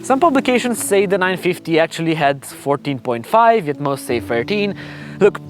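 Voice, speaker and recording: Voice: medium pitch, expressive, moderate pace. A narrator with a wry, conversational manner, reading scripted narration with casual asides. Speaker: narrator and host. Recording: music under the voice. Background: background music.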